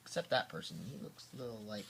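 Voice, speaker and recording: A man reading a children's story aloud as a father, with theatrical, drawn-out emphasis and chatty asides. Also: on the low side, animated, vocal fry, fairly bright tone, slight background noise.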